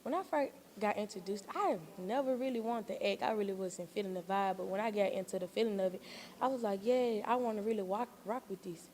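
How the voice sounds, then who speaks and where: A woman's voice: medium pitch, brisk, a little mellow. Laid-back, slangy female interviewee. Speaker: young stage play actor being interviewed. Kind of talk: casual slangy chat.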